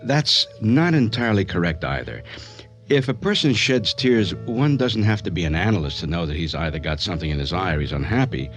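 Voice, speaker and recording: An actor playing an authoritative psychiatrist who narrates a case in a radio drama, explanatory and precise. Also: male voice, deep voice, lively intonation, fairly quick, a bit bright. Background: music.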